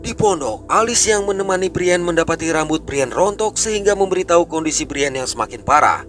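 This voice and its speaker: A man narrating a film recap in a brisk, scripted storytelling way. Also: a little high, lively intonation, fairly bright tone, soft background music. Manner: brisk storytelling, rising and falling delivery.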